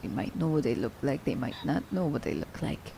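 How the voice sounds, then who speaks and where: A woman acts out an immortal female assassin, sly and haughty, in an intimate ASMR drama. Her voice is lowish and mellow, with plenty of fry and a breathy edge. She speaks in a theatrical, old-fashioned formal way, teasing as she goes. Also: animated, fairly quick, poor audio. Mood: sly, musing. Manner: theatrical, formal storybook diction. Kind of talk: ASMR roleplay audio drama.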